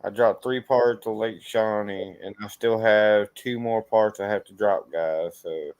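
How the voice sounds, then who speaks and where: A man's voice: lowish voice, steady tone, measured pace, darkish tone, clean tone, no echo. A man hosting a casual livestream chat in an easygoing, conversational style. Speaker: host of a paranormal investigation channel.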